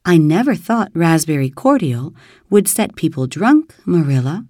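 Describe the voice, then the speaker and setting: A woman's voice: low-pitched, very animated, measured pace, a little mellow, no room sound, clean studio sound, full character voice. A woman voicing a dramatic, imaginative orphan girl who wails and sobs in distress. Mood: sobbing. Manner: wailing, sobbing, breathless and overwrought.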